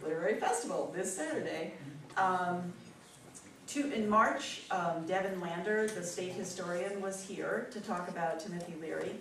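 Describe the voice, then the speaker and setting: Older lady hosting, kinda halting announcements, far off mic, clear background noise. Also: on the low side, a bit bright. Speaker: event host introducing a speaker. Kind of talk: informal announcements to a live audience. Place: indoor meeting room. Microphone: distant camera microphone. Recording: echoey, noisy room recorded from afar.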